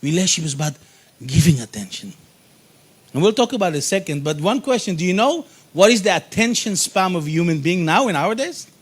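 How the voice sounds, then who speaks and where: Middle-aged Israeli-born speaker, high voice, punchy and repetitive, workshop presenter. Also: male voice, fast talker, slightly rough. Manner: animated, emphatic, repeats key phrases. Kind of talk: motivational workshop talk.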